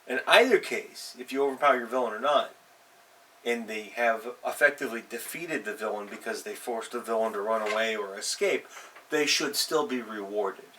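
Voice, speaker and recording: A man with a bright, forward voice of medium pitch talks as a show host giving informal advice. He addresses listeners directly and leans on key words in an unscripted way. The mic sits at some distance, with faint noise behind him. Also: moderate pace. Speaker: host of a tabletop role-playing advice show. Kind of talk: casual unscripted advice talk.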